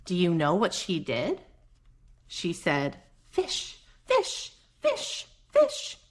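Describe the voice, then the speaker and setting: A woman with a soft voice reads a picture book aloud to young children, gentle and expressive, with chatty asides to her listeners. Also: on the low side, extremely slow, a little hiss or hum. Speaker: children's read-aloud host; mother.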